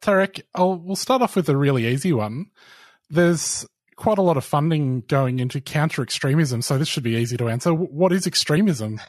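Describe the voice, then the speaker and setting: A man's voice: fairly high pitch, animated, moderate pace, no echo, studio quality. A man from Australia co-hosting a community radio show, asking friendly, conversational questions. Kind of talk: hosting an interview.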